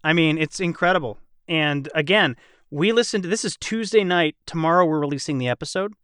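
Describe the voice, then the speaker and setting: A man's voice: on the high side, fairly quick, a bit bright, clean tone, no echo, clean studio sound. A man hosting a casual podcast panel, talking in a rambling way and qualifying himself as he goes. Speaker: podcast host and voice actor.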